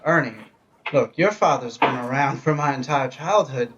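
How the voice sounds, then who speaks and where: A man's voice: medium pitch, normal speed, a bit bright, slight background noise, natural voice. An actor playing an earnest, hesitant young country man in a period radio drama, recorded with slightly dull live sound. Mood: concerned, hesitant.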